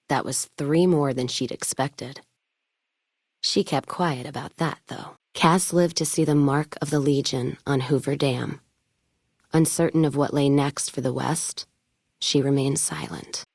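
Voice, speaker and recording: A woman's voice: sits low, creaky, dry recording, studio quality, exaggerated voice. A woman playing a tough, blunt, hard-bitten caravan owner in a video game, with a sardonic manner. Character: tough, foul-mouthed, whiskey-drinking woman caravan owner of the wasteland. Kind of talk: video game character voice lines.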